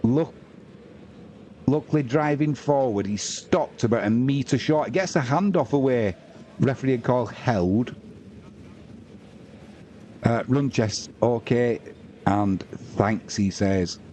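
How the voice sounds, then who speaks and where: A man's voice: mid-range voice, takes it slowly, long pauses, dark tone, a little hiss or hum. A man doing informal, matey live rugby league commentary on a stream, mixing play-by-play with chatty asides to viewers. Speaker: sports livestream commentator and host.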